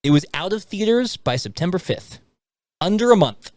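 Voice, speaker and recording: A man's voice: high-pitched, normal speed, dry recording. A man hosting a podcast, chatting casually and animatedly about movies and often repeating what others say. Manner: animated, quizzes co-hosts and repeats their answers. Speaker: podcast host. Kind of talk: casual movie-review chat.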